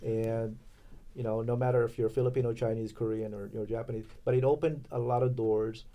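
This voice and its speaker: Male podcast guest, Los Angeles native, chatty and upbeat, mid-pitched. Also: fairly even intonation, a little fast, dark tone, a little hiss or hum. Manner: chatty, laughs often, encouraging. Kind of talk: casual podcast chat.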